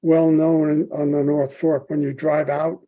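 A man hosting a podcast, asking questions in a halting way with many pauses and "um"s. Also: fairly high pitch, flat delivery, mellow. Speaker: podcast host, interviewer. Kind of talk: interview questions.

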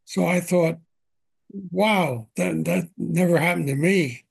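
An older man hosting an interview podcast from his home, asking questions in a gentle, unhurried way with frequent fillers. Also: a little high, creaky, husky, no echo. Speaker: podcast host.

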